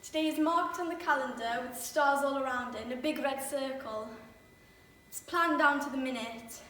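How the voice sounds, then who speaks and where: A woman's voice: high voice, normal speed, bright, forceful tone, slight background noise. Librarian mum, orderly and confiding, woman in role; distant, roomy echo. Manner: confiding, wry storytelling monologue. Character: orderly librarian woman, young mother, chatty and fond. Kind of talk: stage monologue. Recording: echoey room from far off.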